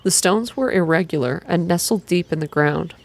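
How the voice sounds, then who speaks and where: A woman's voice: lowish voice, normal speed. A woman narrating a story aloud in an ASMR reading style.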